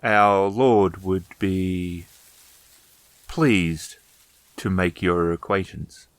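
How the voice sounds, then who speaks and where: Man playing a slow, deep-voiced samurai guard. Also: steady tone, no echo. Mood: welcoming. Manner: formal, deliberate. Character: formal, courteous male samurai gate guard.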